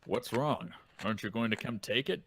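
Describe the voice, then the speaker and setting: A man voicing a stern male baseball coach in a manga dub, formal and measured. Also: mid-range voice, a bit bright, no echo.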